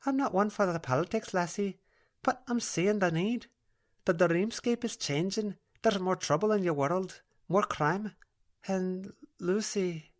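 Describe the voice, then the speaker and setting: A woman's voice: mid-range voice, measured pace, pitched above the performer's own voice, natural voice. A woman voicing a soothing, earnest female dream-walker with a broad Scottish accent in an audiobook.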